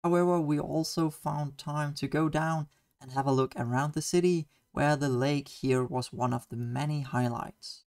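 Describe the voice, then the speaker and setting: Young man, Danish accent, slightly high voice, enthusiastic travel diary voice-over. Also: fairly even intonation, moderate pace, a little mellow. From Denmark. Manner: enthusiastic, earnest storytelling. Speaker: student vlogger.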